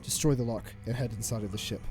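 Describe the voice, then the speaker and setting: Clear music runs under a man acting a cold, calculating male crime boss in an audio play. His mid-pitched voice is measured, deliberate and full of authority. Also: steady tone, lightly acted. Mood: commanding. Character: calculating, commanding male gang boss, the villain. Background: dramatic music.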